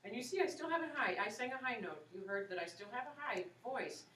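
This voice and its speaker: Woman, 40s, opera singer giving a casual, encouraging talk to students; bright tone, distant mic with faint hiss. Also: on the high side, lively intonation, quick pace. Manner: chatty, encouraging, many "you know"s. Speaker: opera singer and voice teacher, guest speaker. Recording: echoey room, far from mic, faint hiss.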